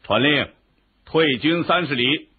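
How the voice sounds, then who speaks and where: An actor playing a commanding, gracious ruler of an ancient Chinese state in a historical radio drama, speaking in a stately, measured way. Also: male voice, a little high, husky. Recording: dull, lo-fi radio drama recording.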